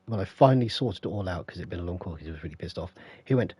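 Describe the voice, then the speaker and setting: An English man with a croaky voice, feeling unwell, rambling through stories while greeting his live stream chat on a headset. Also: low-pitched, lively intonation, very fast, dark tone, no echo. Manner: rambling, anecdotal, stops to greet viewers. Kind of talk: casual storytelling to live chat.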